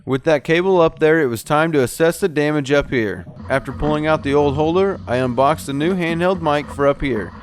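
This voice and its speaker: A man's voice: fairly high pitch, a little fast, few pauses, smooth. A man narrating a step-by-step boat repair how-to as the show's technician host. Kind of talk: explanatory how-to voice-over narration.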